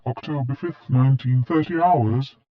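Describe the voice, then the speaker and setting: An actor playing an impersonal ship's log announcer in an audio drama, reading out in a flat, formal, clipped military way through a radio filter. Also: male voice, mid-range voice, normal speed. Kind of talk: clipped military timestamp readout. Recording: muffled radio with loud static and beeps.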